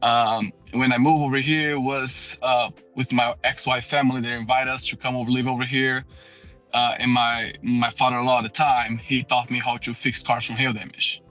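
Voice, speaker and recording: A man in his thirties, originally from Brazil, talks with a clear Brazilian Portuguese accent and a bright, forceful voice. He speaks English as a second language, earnestly and with frequent restarts. Faint music sits behind him. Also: medium pitch, level tone, moderate pace. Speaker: guest, door-to-door auto hail repair salesman. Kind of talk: casual podcast conversation.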